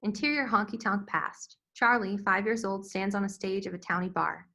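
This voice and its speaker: A woman's voice: mid-range voice, normal speed, pushed and bright. A woman reading scripted screenplay stage directions as narrator.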